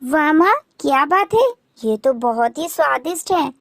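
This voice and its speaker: A woman's voice: sits high, animated, measured pace, creaky, no room sound, clean studio sound, exaggerated voice. A woman voicing a pleading little girl bird in a moral-story cartoon, whining and insistent. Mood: delighted.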